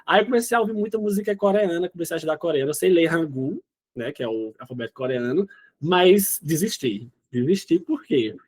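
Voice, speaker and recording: Rapaz nordestino de uns vinte e poucos anos, voz aguda, conversa solta de entrevistado. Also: male voice, fairly high pitch, expressive, a little fast, darkish tone, husky. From Northeast Brazil. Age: 20s. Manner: chatty, often says "né?" and "entendeu?". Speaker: interview guest. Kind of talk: casual interview chat.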